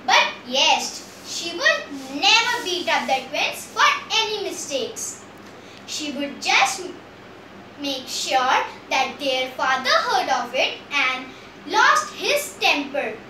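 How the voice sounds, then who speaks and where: Very high, bright childlike storyteller in echoey room. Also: slow pace. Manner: theatrical, animated delivery. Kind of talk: expressive fairy tale storytelling. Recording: echoey room, distant mic, faint noise.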